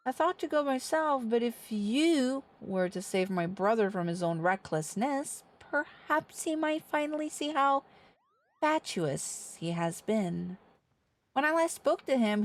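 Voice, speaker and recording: A woman's voice: mid-range voice, animated, a little slow, lightly acted. A woman voicing a worried, exasperated older sister in a fantasy game, reading the dialogue with formal, old-fashioned phrasing. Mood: worried, pleading. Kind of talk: reading game quest dialogue aloud in character.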